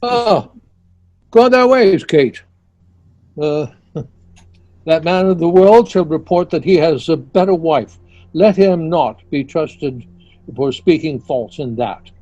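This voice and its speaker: Slow, mellow lordly king read by a man. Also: on the high side, expressive, no room sound. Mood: fond admiration. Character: lordly English king, frank and self-assured. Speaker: amateur actor in an online play reading. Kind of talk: amateur Shakespeare read-aloud. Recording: dull online call with music.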